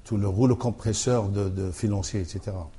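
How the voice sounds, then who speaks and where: Halting, husky-voiced male author. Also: on the low side, fairly even intonation, mellow. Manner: halting, many restarts and fillers, thoughtful. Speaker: author, interview guest. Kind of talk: hesitant conversational interview.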